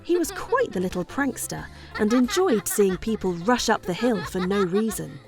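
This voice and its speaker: A woman narrating a scripted children's bedtime story with expressive, deliberate phrasing. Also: medium pitch, music in the background.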